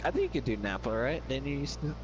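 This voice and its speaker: A man's voice: medium pitch, animated, fast talker, background noise. A young man chatting while gaming online, swearing and laughing, his voice strained from screaming. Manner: loud, swearing, laughing, tries character impressions. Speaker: gamer in party chat. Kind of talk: casual gaming banter.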